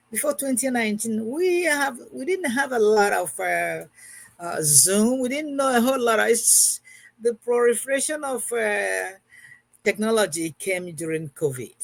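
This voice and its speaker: A woman's voice: medium pitch, gravelly, no echo. A woman in her sixties, a math teacher giving an informal recorded lesson, who keeps repeating and rephrasing her points. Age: 60s.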